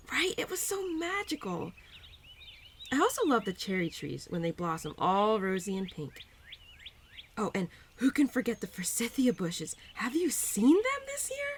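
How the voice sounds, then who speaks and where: A woman's voice: a little high, a bit bright, a little hiss or hum. A woman playing a bubbly, affectionate young spirit of Spring in a roleplay audio drama, talking to the listener in a gushing, giggly, teasing way. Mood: wistful, admiring.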